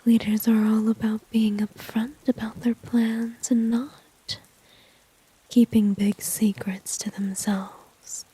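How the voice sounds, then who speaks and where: A woman playing a flirty, dominant villain in a whispered ASMR roleplay, teasing slowly and coyly with mocking condescension. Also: fairly high pitch, flat delivery, no echo, full character voice, rough recording. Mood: smug, seductive. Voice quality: soft, breathy whisper. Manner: slow, coy, mocking, drawn-out teasing.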